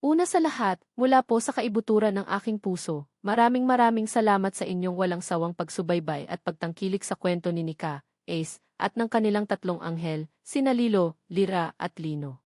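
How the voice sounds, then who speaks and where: A woman reading a scripted first-person love story as narrator, with sentimental, measured phrasing. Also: medium pitch, a little mellow, no echo, clean studio sound.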